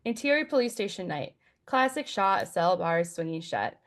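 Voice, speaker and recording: A woman reads scripted stage directions as the narrator of a table read. Her voice sits a little low for a woman. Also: sing-song, moderate pace.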